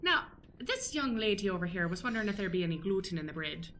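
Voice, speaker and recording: A woman's voice: medium pitch, very animated, fast talker, a bit bright, faint music behind. A woman playing a folksy, cheery flower shop owner in an improvised radio play, gushing with old-fashioned turns of phrase. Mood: chipper, helpful.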